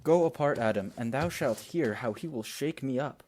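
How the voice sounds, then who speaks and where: Proud young nobleman, youngest brother, male actor, impassioned and defiant, classical radio drama, mid pitch. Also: expressive, moderate pace, darkish tone, close to an everyday voice. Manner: declamatory, impassioned. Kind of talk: Shakespearean radio play acting.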